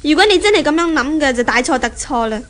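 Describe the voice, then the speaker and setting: Unyielding young woman in love, Cantonese radio drama, high-pitched, earnest, indignant, proud. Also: lively intonation, quick pace, fairly bright tone. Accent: Hong Kong Cantonese. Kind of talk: 1970s Hong Kong radio drama acting.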